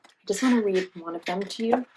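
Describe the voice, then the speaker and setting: A woman reading a children's storybook aloud as host, pausing in a teacherly way to guide young listeners. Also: medium pitch, a little mellow, a little hiss or hum, rough recording. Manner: teacherly, asks listeners questions and guides them.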